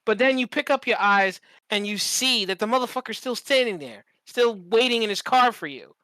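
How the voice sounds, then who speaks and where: A podcast co-host chatting casually and comedically, acting out examples and swearing freely in an animated storytelling manner. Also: medium pitch, normal speed, no echo, low-quality recording.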